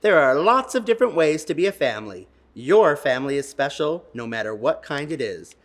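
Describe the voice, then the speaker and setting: A man hosting a children's storytime, reading picture books and chatting in a gentle, encouraging way. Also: mid-range voice, sing-song, a little fast.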